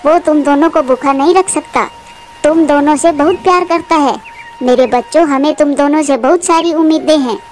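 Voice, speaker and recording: A woman's voice: sits high, moderate pace, soft background music, close to an everyday voice. A woman voicing an anxious, devoted mother bird in a moral cartoon, earnest and pleading. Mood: tender, earnest. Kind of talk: Hindi moral cartoon dubbing.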